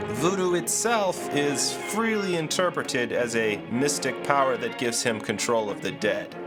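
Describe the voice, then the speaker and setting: Fatherly ghost host, acted by a man, scripted explainer, swooping pitch, music underneath. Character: fatherly ghost storyteller explaining horror history. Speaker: host and narrator. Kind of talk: scripted educational narration.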